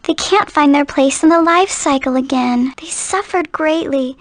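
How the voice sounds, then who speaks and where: Tiny, very high childlike girl spirit by a woman. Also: moderate pace, no room sound, lightly acted. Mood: tender. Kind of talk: video game voice acting.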